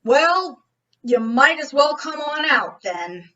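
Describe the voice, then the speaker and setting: Woman as male police captain, slow and polite, dull faintly noisy recording, radio drama. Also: high voice, very animated, sharp tone, heavily acted. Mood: cool, polite. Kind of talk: old-time radio drama acting. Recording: dull band, faint noise.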